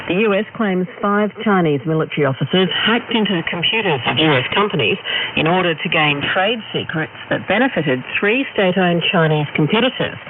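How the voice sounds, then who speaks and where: A man with an Australian accent reading a scripted radio news bulletin over noisy shortwave radio. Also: high-pitched. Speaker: news reader. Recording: noisy narrow-band shortwave radio reception.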